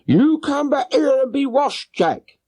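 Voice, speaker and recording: A man's voice: extremely high pitch, sing-song, slow, mellow, voice pushed up, exaggerated voice. A man voicing a chatty, flustered working-class London boatman's wife for an audiobook. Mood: scolding. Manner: chatty, deferential, plain-spoken. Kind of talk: audiobook character voice.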